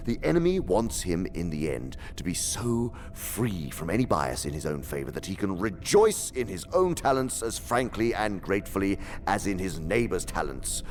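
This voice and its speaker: Very deep, gravelly, haughty demon uncle. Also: male voice, a little mellow, a little hiss or hum, full character voice. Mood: reluctant disdain. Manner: pompous, theatrical, condescending lecturing. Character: senior male demon, haughty scheming uncle and mentor. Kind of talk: radio drama acting.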